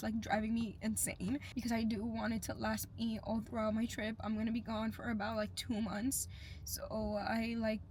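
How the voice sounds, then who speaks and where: A young woman with a slightly breathy, gravelly voice talks in a chatty, open vlog, full of little fillers. Her voice is a touch high for a woman. She sits a little back from the mic in a room with a roomy echo. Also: recorded from a distance, slight background noise. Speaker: vlogger. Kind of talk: casual personal vlog.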